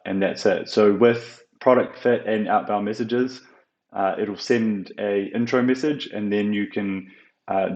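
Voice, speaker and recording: A man with a fairly low voice talks through a software walkthrough. He explains step by step in a halting, unscripted way, full of ums and uhs. The recording is roomy and echoing, with a slightly dull tone. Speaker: software tutorial presenter. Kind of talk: unscripted screen-share walkthrough.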